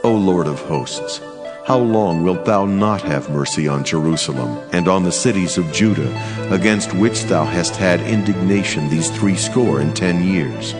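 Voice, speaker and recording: Deep, solemn, commanding angel over music. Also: male voice, measured pace. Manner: grave, declamatory. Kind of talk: dramatized scripture reading. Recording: loud music bed behind voice.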